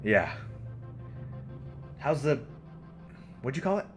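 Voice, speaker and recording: An actor playing a nervous, joking older-brother ship pilot. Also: male voice, medium pitch, sing-song, soft background music. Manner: nervous, joking, fast-talking bluffs. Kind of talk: fan audio drama acting.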